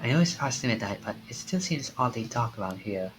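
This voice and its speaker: Male streamer, theatrical read-aloud of story text, mid-range voice, game music clearly behind. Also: medium pitch, animated, fairly quick. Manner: acts out the lines theatrically. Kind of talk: reading visual novel dialogue aloud on a livestream. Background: video game music.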